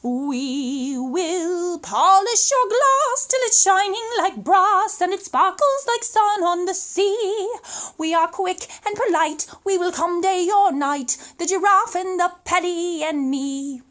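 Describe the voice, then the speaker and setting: A skinny, eager little monkey performed by a woman reading aloud calls out in bouncy, chanted rhymes. The voice is extremely high and animated, jaunty in a children's storybook way. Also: takes it slowly, soft background music, heavily acted. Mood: proud, jolly.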